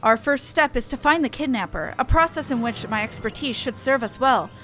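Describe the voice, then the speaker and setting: A woman's voice: high-pitched, a little fast, fairly bright tone. A woman voicing an earnest, chatty engineer from a fantasy game, reading the dialogue aloud in formal, old-fashioned phrasing. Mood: inquisitive.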